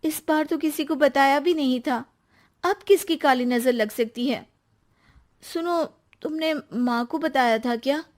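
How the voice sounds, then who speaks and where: A woman voicing an emotional young wife in a cartoon story, speaking in a pleading way that takes offense quickly. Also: sits high, voice pushed up. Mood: worried.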